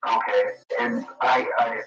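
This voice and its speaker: A man's voice: medium pitch, little pitch movement, measured pace, a bit bright, far from the mic. Brief: midwestern man, polite radio caller, hesitant, dull roomy broadcast with crowd noise.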